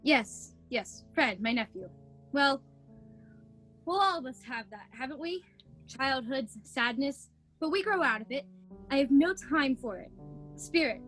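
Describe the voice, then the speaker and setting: A woman playing a proud, defensive female miser who softens into regret, in a theatrical radio play recorded over a video call. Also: high voice, lively intonation, a little slow, faint music behind, poor audio. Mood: wistful.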